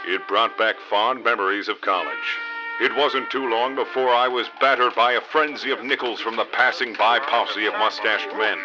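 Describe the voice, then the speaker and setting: An actor playing a hapless, dim novice private detective, narrating a parody noir radio drama in deadpan melodrama. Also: male voice, lowish voice, close to an everyday voice. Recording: dull lo-fi audio under loud music.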